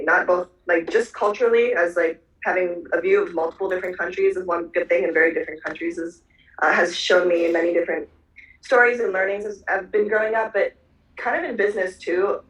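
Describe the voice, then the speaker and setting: A man's voice: high voice, fairly bright tone. A young man from Japan speaking thoughtfully as a panelist. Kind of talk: panel discussion. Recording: echoey, distant.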